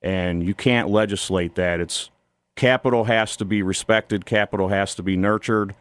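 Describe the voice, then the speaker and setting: Smooth, lowish-voiced American man. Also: steady tone, moderate pace, no room sound. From Toledo, Ohio, United States. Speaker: studio interview guest, YouTube commentator. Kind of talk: opinionated political radio interview. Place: radio studio.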